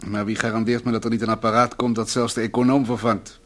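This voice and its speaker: A man's voice: medium pitch, level tone, quick pace, natural voice. A man playing a worried, earnest husband in a radio play, a brooding company economist given to rhetoric. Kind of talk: radio play acting, domestic dialogue.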